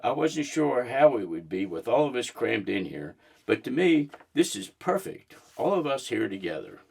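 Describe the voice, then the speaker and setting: A man reading the part of a warm, proud mother in a children's storybook, speaking in a heartfelt way. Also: medium pitch, big pitch swings, normal speed, vocal fry, slightly rough, exaggerated voice. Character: warm, proud mother hosting family dinner. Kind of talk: storybook read-aloud.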